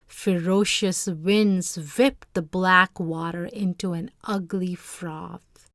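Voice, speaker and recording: A woman narrating a storybook in a measured, dramatic read-aloud style. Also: lowish voice, fairly even intonation, fairly bright tone, dry recording, clean studio sound. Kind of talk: expressive scripted storybook narration.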